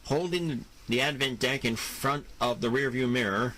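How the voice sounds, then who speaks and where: A man's voice: mid-range voice, moderate pace, heavy background noise. A man narrating a scripted action audio drama as its storyteller. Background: music.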